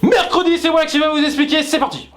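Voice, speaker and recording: A man's voice: very high voice, fairly even intonation, fast talker, over music. A man co-hosting a web show, explaining things in an informal, jokey way and often interrupting himself. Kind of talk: casual explainer chat with co-host.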